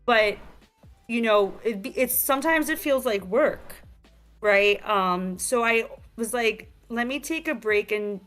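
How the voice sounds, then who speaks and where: A young woman with a New York accent talks as a guest, chattering in a streetwise way and leaning on "like" and "you know". Her voice is bright and forceful, and it sits a little high for a woman. Faint music plays behind her. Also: measured pace. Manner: energetic, streetwise, many fillers like "like" and "you know". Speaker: guest, game creator. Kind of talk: casual interview chat. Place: virtual talk show, remote.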